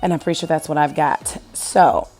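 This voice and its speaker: A woman in her thirties with a hoarse, gravelly voice from being sick, chatting casually over her home decorating video and asking viewers what they think. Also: sits low, steady tone, moderate pace, darkish tone, soft background music. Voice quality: gravelly, husky. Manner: chatty, rambling, asks viewers questions. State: hoarse from illness.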